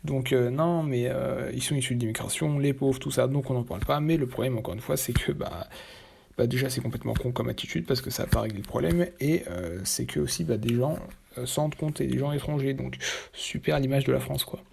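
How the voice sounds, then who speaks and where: Male commentator, husky and breathy, mid-pitched, hesitant delivery full of fillers. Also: a little mellow. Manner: hesitant, halting, with many fillers and restarts; blunt and opinionated. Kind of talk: casual reaction commentary.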